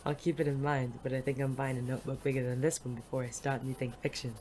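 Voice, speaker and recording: An actor playing a world-weary, wisecracking private detective in an audio drama, dry, sardonic and casually profane, with a soft spot underneath. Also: medium pitch, fairly even intonation, fairly quick, slight background noise, close to an everyday voice. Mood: dry, teasing.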